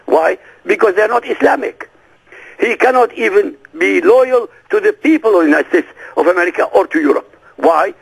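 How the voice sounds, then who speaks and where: An insistent man who speaks Arabic as his first language sits in as an interview guest, pressing his points with one rhetorical question after another. His voice sits high and swings widely, rough with gravel and a husky edge. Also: rough recording. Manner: forceful, emphatic, fires rhetorical questions. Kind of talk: radio interview.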